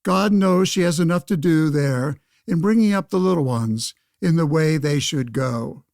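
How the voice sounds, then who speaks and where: An actor playing a pompous, self-righteous 1911 state senator in a radio play, speaking in formal, declamatory phrases. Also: male voice, a little high, normal speed, creaky, a little mellow. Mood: grandiose, preachy. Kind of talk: pseudo-radio play interview and oratory.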